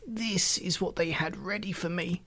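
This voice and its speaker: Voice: medium pitch, a little slow, fairly bright tone, voice pushed up. A narrator voicing a querulous old man with a weak, quavering, whining voice in a storybook reading. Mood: peevish, demanding. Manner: querulous, complaining.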